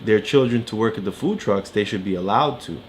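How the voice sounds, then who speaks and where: A man's voice: lowish voice, fairly quick. Man tutoring, faint background noise. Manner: explanatory, prompting with questions and short affirmations. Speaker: reading tutor. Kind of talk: casual explaining during a read-along lesson.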